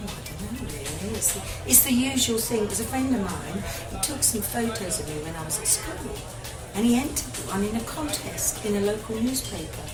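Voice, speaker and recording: An actress playing a modest, sweet young British fashion model in a radio drama, polite and chatty. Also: female voice, mid-range voice, expressive, brisk, natural voice. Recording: slightly distant, loud music underneath.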